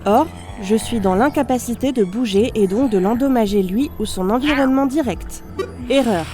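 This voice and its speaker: Woman playing a soft-toned, high-voiced polite robot. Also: moderate pace, soft background music, natural voice. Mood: clinical, methodical. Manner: formal, clipped system announcements and precise explanations. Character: damaged female robot unit, polite, analytical, gently naive. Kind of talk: scripted audio fiction monologue.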